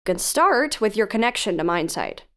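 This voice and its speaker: A woman's voice: mid-range voice, very animated, moderate pace, close to an everyday voice. An actress playing a protective, insistent female investigative podcaster in an audio drama, questioning firmly and earnestly. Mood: probing, suspicious. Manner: probing, firm, earnest. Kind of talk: audio drama phone dialogue.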